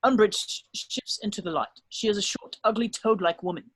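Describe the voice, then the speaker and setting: High-pitched man narrating, dry sound. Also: a little fast, fairly bright tone, slightly rough, no room sound. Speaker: narrator reading stage directions. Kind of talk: scripted narration in a table read.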